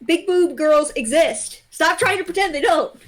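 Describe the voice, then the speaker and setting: A woman's voice: very high-pitched, normal speed. A young adult woman chatting casually as a livestream guest, blunt and freely swearing. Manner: blunt, profane. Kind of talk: casual chat.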